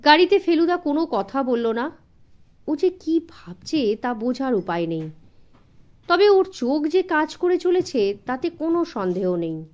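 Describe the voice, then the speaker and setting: Woman narrating an audio story for kids, high voice, very animated delivery. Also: unhurried, highs cut off. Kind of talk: expressive scripted story narration for children.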